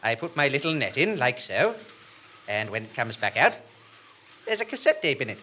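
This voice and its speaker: A man's voice: mid-range voice, big pitch swings, moderate pace, pushed and bright, a little hiss or hum. Man doing a mild, dithering old man. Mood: mild, fussy. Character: tired old balloon-dwelling man, mild, fussy, dutiful. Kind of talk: live comedy audio drama acting. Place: small theatre stage before a seated audience.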